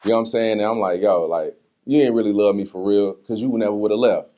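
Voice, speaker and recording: Male interview guest, low-pitched voice, slangy and conversational, telling personal stories informally. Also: fast talker. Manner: slangy, conversational, animated retelling with acted-out quotes. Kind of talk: casual candid interview talk answering personal questions.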